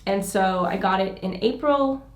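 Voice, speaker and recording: Woman from the US, chatty guest, mid pitch, echoey room sound. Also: measured pace. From United States. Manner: chatty storytelling with "like" fillers and laughs. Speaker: guest YouTuber. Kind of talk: casual chat. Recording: roomy echo.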